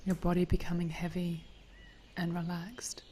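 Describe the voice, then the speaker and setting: A woman leading a guided body scan meditation in a gentle, soothing, breathy voice that drifts toward a whisper. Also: fairly low pitch, completely flat delivery, normal speed, slight background noise.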